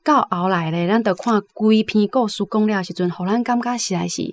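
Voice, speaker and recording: A mid-pitched woman speaks with a Taiwanese accent. She comments on literature conversationally, explaining things with frequent tag particles. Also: brisk.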